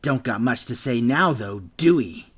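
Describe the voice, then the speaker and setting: A man's voice: mid-range voice, expressive, a little slow, fairly bright tone, breathy edge. A man reading an audiobook, voicing a sneering, menacing bully boy who taunts his victim. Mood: taunting. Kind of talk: audiobook character voice.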